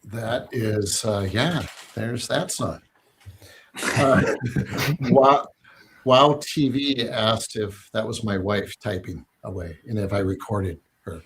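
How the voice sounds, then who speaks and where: A man's voice: on the low side, takes it slowly, slight background noise. A man hosting a casual listening stream about his album, chatty and self-deprecating, laughing often. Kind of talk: casual chat.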